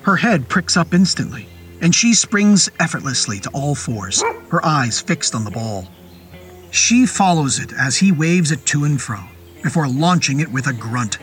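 A man's voice: on the high side, expressive, fairly bright tone. Man narrating horror fiction over music. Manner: literary, descriptive, deliberate storytelling. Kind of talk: scripted dramatic story narration. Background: music and rain sound effects.

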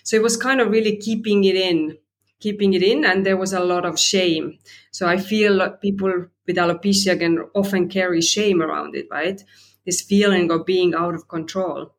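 A woman's voice: lowish voice, fairly even intonation, moderate pace. Guest, woman from Estonia, English, chatty and reflective. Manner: conversational, many fillers and restarts, rising "right?" tags. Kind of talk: personal storytelling interview.

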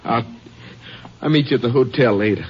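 A man's voice: medium pitch, a little fast, lo-fi sound, close to an everyday voice. An actor playing an anxious married son, a family man, in a 1950 radio drama with a scratchy sound. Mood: shaken.

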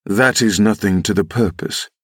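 Man playing a menacing low-voiced gentleman villain. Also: moderate pace, slightly rough, no echo, clean studio sound. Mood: commanding. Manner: mocking, commanding, insinuating. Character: menacing, wheedling middle-aged gentleman villain. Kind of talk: audiobook character reading.

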